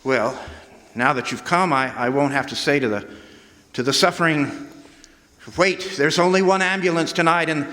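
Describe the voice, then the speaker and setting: Man acting a flustered, stammering hospital administrator, highish voice. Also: sharp tone. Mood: earnest, relieved. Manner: halting, stammering, fretful. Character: flustered, anxious, earnest male hospital administrator doctor. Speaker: actor in a radio play. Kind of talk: radio drama acting. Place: live stage performance in a hall. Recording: echoey live hall.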